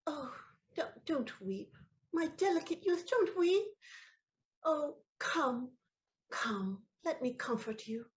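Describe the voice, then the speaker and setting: A woman's voice: high-pitched, animated, takes it slowly, dull audio. A woman playing a noble countess in an audio play, tender at times and stammering when caught. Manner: flustered, stammering. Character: flustered noble countess, anxious to hide secrets. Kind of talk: stage audio play acting.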